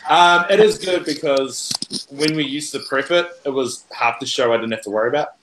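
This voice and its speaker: A man from New Zealand, a podcast guest, chatting about his show in a loud, jokey, self-mocking way. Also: medium pitch, brisk, reverberant, noisy background. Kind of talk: casual chat. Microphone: USB mic, online call.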